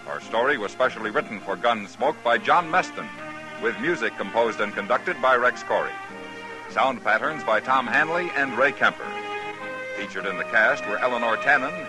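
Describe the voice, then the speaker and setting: Animated vintage radio announcer, male. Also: mid-range voice, poor audio. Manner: formal, dramatic. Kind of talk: scripted 1950s radio announcing. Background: music.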